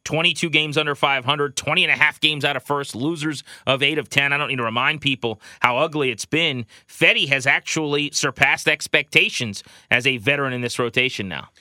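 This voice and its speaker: A man hosting a sports podcast, talking baseball in an energetic show style. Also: mid-range voice, fairly quick, few pauses, no room sound.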